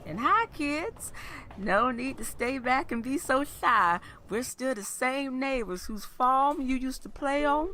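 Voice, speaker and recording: An actress playing a kindly, gently teasing old wife, neighborly and fond, in a family audio drama. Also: female voice, high-pitched, animated, slow pace, a little hiss or hum, exaggerated voice. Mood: fond, friendly.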